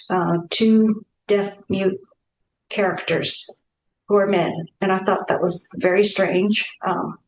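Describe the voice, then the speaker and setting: Sex: woman. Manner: slow, halting. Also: medium pitch, slight background noise. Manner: halting, reflective, with many pauses. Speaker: symposium host. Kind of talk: panel discussion talk. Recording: echoey room.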